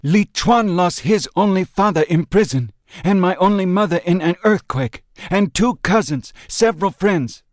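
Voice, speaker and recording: Man voicing a calm, formal Chinese friend. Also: sits high, moderate pace, hardly any pauses, dry recording, studio quality, voice pushed up. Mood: earnest. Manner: formal, no contractions, preaching.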